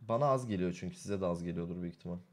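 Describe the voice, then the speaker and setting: A man's voice: low voice, very fast, slight background noise. A man streaming a reaction, making joking, laughing asides. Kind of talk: casual stream commentary. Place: home streaming room.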